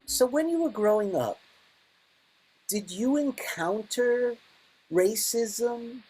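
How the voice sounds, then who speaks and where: An older man from the Bronx in New York, speaking as an interviewer in a conversational style with a husky voice. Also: very high-pitched, lively intonation, slow pace, a little mellow, rough recording. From Bronx, New York, United States.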